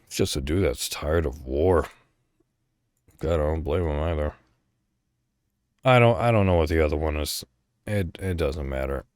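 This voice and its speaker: A man hosting a podcast solo, chatting casually and thinking out loud in a rambling way. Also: a bass voice, normal speed, pauses often, no echo, studio quality. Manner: rambling, thinking aloud, mild swearing. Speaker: podcast host.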